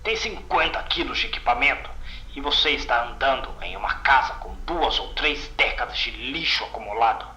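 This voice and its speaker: A shaken field agent acted by a man recounts horror with bitter, haunted intensity. His Brazilian-accented voice is very tense and bright, set in an echoing space like a horror audio drama. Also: medium pitch, animated, normal speed. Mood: agitated, weary. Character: shaken, bitter male containment agent recounting a horrifying mission.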